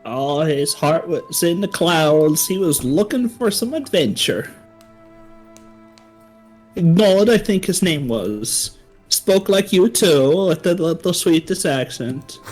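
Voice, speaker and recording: A woman's voice: on the low side, animated, slow pace, a little mellow, faint music behind. A game master voicing a kindly old female dwarf herbalist who runs a shop, doting and rambling.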